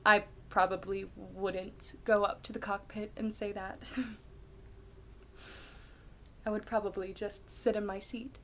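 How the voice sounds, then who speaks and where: A woman performing a candid, self-deprecating young woman in a dramatic stage monologue, with halting, confessional delivery and dramatic pauses. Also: mid-range voice, little pitch movement, pushed and bright, slight background noise. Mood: subdued, haunted.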